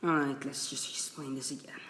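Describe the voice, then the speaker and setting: A man's voice: medium pitch, level tone, sharp tone, a little hiss or hum. A young man from Indonesia, an English speaker, casually explaining things he reads off a wiki, stumbling and restarting often. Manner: halting, many restarts and apologies. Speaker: video creator. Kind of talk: casual screen-recorded explainer reading from a wiki.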